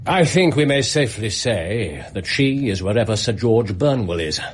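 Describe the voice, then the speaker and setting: An actor in a British radio drama playing a shrewd, commanding Victorian detective, precise and dryly ironic, with a Received Pronunciation English accent. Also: male voice, lowish voice, big pitch swings, moderate pace, breathy edge. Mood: grave, righteous.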